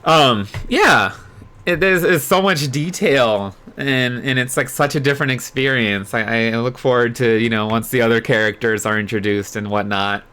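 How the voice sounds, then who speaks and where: A man hosting a livestream readalong, moving between expressive storybook narration and casual chat with viewers. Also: mid-range voice, normal speed, clean tone. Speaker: streamer host reading aloud.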